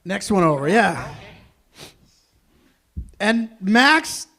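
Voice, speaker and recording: A man hosting a live stage event, announcing informally with halting, self-interrupting delivery. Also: high-pitched, very animated, sharp tone. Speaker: host of a live table read.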